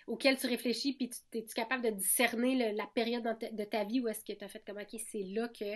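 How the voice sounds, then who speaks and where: A woman in her thirties with a Québécois accent speaks in a slightly high voice. She talks candidly and conversationally, with frequent restarts and repeated words as she works through her thoughts. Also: steady tone, quick pace. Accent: Québécois French. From Quebec. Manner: frank, many restarts and repetitions. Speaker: podcast guest, singer.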